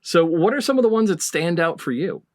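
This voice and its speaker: A man's voice: high voice, expressive, brisk. A man hosting a podcast in a casual, conversational interviewing style. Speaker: podcast host.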